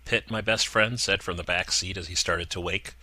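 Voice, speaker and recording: A man narrating a horror story in a slow, deliberate way with dramatic pauses. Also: deep voice, fairly even intonation, sharp tone, no echo.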